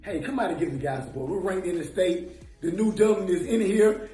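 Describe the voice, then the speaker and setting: Raspy guy doing a hyped-up joke weather report, high pitched, roomy echo w/ faint music. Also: brisk. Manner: excitable, laughing, shouting catchphrases. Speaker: weather segment presenter. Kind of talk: comic weather forecast. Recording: echoey room with faint music.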